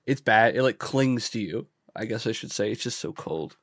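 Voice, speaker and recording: A man's voice: lowish voice, expressive, normal speed, a bit bright, no echo, studio quality. A man co-hosting a podcast in casual, slangy, sweary talk, congested as he gets over a cold. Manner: slangy, swearing, says "bro" and "dude". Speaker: podcast co-host. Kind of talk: casual chat.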